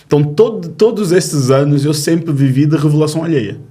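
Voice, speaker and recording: Mellow-voiced man in his thirties from Angola. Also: on the high side, animated, husky. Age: 30s. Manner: earnest, storytelling, with filler pauses. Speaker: youth ministry leader. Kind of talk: testimony in a podcast interview.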